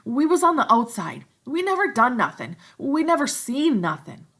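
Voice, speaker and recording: Lady doing a high shrill nervous kid, pleading fast, gravelly edge. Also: very animated, fairly bright tone, heavily acted. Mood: anxious shrill. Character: shrill anxious chubby schoolboy.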